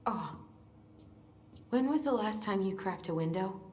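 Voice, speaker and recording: Casting: woman playing earnest young American searching for answers, grieving, mid-pitched natural voice, roomy sound, game drama. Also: lively intonation, a little slow, a little hiss or hum, natural voice. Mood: curious. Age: young adult.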